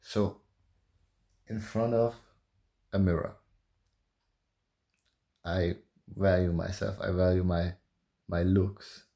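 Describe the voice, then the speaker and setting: A man in his early twenties speaking softly and calmly in a halting, hesitant way, thinking aloud in a personal confessional vlog. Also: low-pitched, vocal fry. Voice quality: soft voice. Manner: calm, halting, hesitant with long pauses. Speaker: vlogger.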